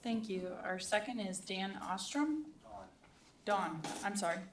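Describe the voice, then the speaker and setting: A woman serving as deputy mayor, chairing a council meeting in a brisk, procedural way. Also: medium pitch, a little hiss or hum. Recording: echoey council chamber, distant mic.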